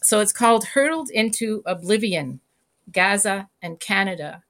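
A woman writer chatting as an interview guest, halting and searching for words, often laughing. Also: mid-range voice, expressive, a bit bright. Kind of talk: casual interview chat.

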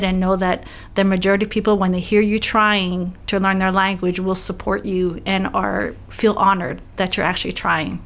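Encouraging woman guest telling stories. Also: medium pitch. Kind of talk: casual interview chat.

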